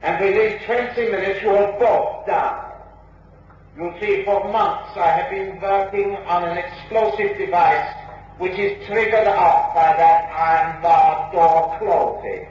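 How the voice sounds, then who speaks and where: Slow, high-voiced gloating villain, heavily echoing. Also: male voice, a little mellow. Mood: gloating menace. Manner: slow, taunting, triumphant. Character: gloating male villain springing a deadly trap. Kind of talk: classic radio drama acting. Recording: dull, very echoey, distant, faintly noisy radio recording.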